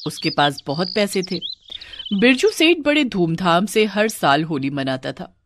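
A woman's voice: lowish voice, very animated, measured pace, no echo, clean studio sound. A woman narrating a scripted moral story.

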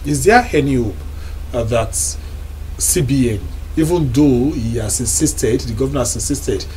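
Young Nigerian man, TV host, mid-pitched, measured, faint studio hum behind. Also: mellow, poor audio. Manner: measured, reports news then poses questions. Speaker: talk show host. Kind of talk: studio talk show hosting. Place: TV studio lounge set.

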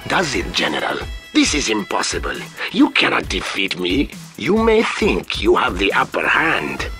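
A menacing, pompous guerrilla commander for a video game. His voice is forceful and sharp, with dramatic, sweeping intonation that draws out each threat. He taunts in a deliberate, theatrical way, over faint music. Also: mid-range voice, heavily acted. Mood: humiliated, incredulous. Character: proud, menacing male guerrilla commander in chief. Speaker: villain general taunting the player.

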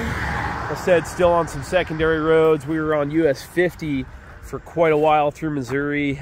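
Man in his 30s, slightly high voice, relaxed rambling road-trip vlogger, stuffy nose, faint wind noise. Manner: easygoing, rambling, frequent "uh" and praise asides. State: stuffed up from allergies. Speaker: motorcycle travel vlogger. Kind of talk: casual on-the-road narration. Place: outdoors by roadsides and a riverbank. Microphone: action camera or phone at arm's length, some helmet-mounted.

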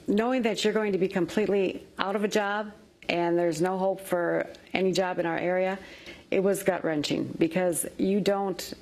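A woman's voice: fairly low pitch, steady tone, moderate pace, darkish tone. A woman in her fifties, a factory worker, answering interview questions in a plain-spoken, anxious way, on a lapel mic in a studio. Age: 50s. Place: television studio.

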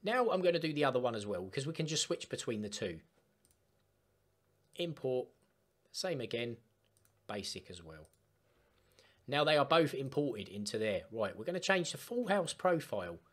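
Man with lively, swooping tutorial delivery. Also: medium pitch, moderate pace, long pauses. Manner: chatty, step-by-step instructing. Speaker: gaming tutorial host. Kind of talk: casual screen-share software tutorial. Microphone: desktop microphone, voice-over of screen capture.

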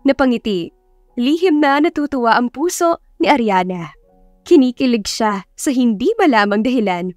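Higher-pitched woman narrating a love story. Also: expressive, normal speed, darkish tone, no echo, clean studio sound, soft background music. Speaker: audiobook narrator.

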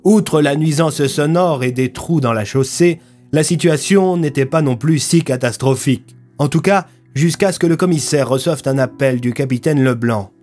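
A man's voice: on the high side, moderate pace, a little mellow, soft background music. A young man telling a scripted mystery story as the dramatic narrator of an audio fiction series. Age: young adult.

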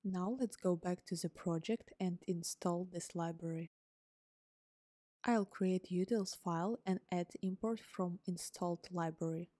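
A woman whose first language is Ukrainian, calmly narrating a scripted coding tutorial step by step as host. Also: lowish voice, measured pace, no echo, clean studio sound. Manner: calm, step-by-step explaining. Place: voice-over on screen recording.

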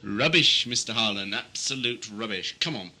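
Man playing a gravelly, forceful leader. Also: on the low side, lively intonation, moderate pace, faint music behind, exaggerated voice. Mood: sceptical, scornful. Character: brusque, dismissive leader of a space team. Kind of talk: audio drama acting.

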